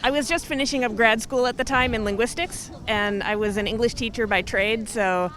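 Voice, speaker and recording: A woman who teaches English, answering street interview questions in a soft, modest way. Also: on the high side, a little fast, a little hiss or hum. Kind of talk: casual answers.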